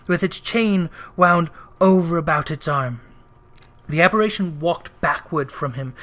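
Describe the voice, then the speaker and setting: A man reading a classic tale aloud as scripted narration, with a measured, dramatic delivery. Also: high-pitched, a bit bright. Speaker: audiobook reader.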